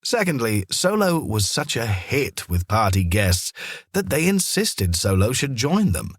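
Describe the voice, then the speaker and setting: A man narrates a scripted story in an expressive, measured way. His voice sits fairly low with a husky grain and moves through lively intonation. The sound is very dry. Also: no echo. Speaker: storyteller, audiobook reader.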